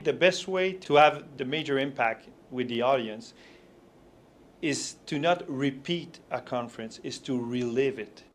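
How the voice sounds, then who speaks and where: A man's voice: mid-range voice, a little slow, faint music behind. A man with a French-Canadian accent, a native French speaker, explaining his approach as a motivational speaker in an interview.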